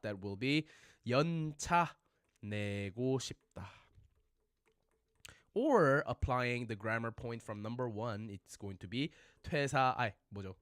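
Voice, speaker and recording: A man's voice: medium pitch, fairly bright tone, no room sound, clean studio sound. A man teaching a casual livestream lesson, chatting with viewers and repeating phrases for learners. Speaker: Korean language teacher.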